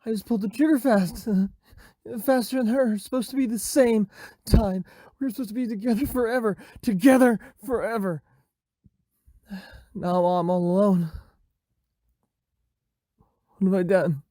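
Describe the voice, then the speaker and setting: Man acting a bright-voiced, anxious lovesick teen boy. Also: high voice, a little slow, long pauses, no room sound, clean studio sound. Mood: frantic, distraught. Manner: stammering, repeating himself. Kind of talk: motion comic dramatic acting.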